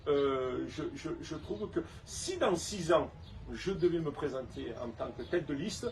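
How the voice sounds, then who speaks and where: A man from Saint-Tropez with a southern French accent, in a casual interview, halting and repeating words, then speaking emphatically. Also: a little high, animated, gravelly, recorded from a distance. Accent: Provençal (southern French). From Saint-Tropez, France. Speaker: restaurant owner and council candidate.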